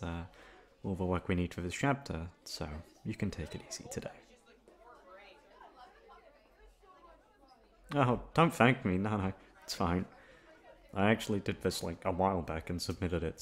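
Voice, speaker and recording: A young man playing a shy, easygoing college classmate in an ASMR roleplay, talking casually in a hesitant, halting way. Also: low voice. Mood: casual, friendly. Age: young adult. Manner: hesitant, halting, awkward chuckles. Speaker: voice actor. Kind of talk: ASMR roleplay audio drama, casual conversational.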